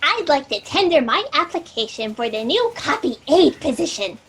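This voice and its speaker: A woman's voice: sits high, very animated, normal speed, background noise. A woman playing an eager, opportunistic junior copy aide with a formal manner in a sci-fi satire audio drama.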